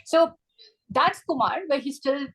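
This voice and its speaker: A woman reviewing a short story in a webinar, speaking over a video call in a chatty, animated way, often adding "right?" and "you know". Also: sits high, a little slow, rough recording. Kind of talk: webinar book discussion.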